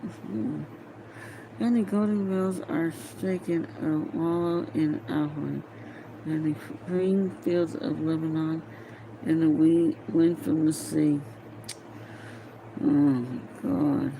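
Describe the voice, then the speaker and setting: A woman reading a novel aloud informally, halting and stumbling over words, with muttered casual asides to herself. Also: low-pitched, steady tone, noisy background. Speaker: amateur reader of a novel aloud.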